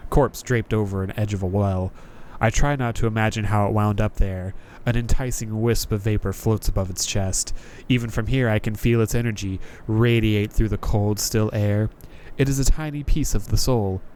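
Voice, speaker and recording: A man's voice: on the low side, darkish tone, a little hiss or hum, rough recording. A man hosting a gaming video, reading narration aloud and commenting in a casual, jokey, informal way.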